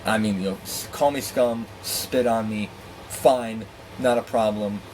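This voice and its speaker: A man telling a personal story in a candid, profane, animated way, acting out dialogue with exasperated asides. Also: fairly low pitch, measured pace, background noise. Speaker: storyteller and host.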